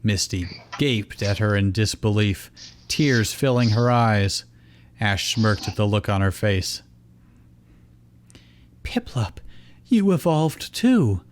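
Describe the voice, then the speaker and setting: A man narrating fan fiction as a comedic host, dropping into casual asides. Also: lowish voice. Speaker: reader, host.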